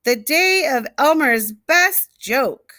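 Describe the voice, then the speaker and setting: A woman voicing a fond old storybook elephant, exclaiming with drawn-out, affectionate delight. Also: high voice, animated, bright, forceful tone, no echo, studio quality, voice pushed up, exaggerated voice.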